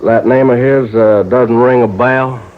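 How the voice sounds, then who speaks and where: Through a thin, narrow vintage radio recording comes a man acting a crafty old country insurance agent. He has a rural American accent and tells things in a folksy, halting, drawn-out way, nervous underneath, in old-time radio drama style. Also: mid-range voice, steady tone, close to an everyday voice. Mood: confiding. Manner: folksy, hesitant, drawn-out storytelling.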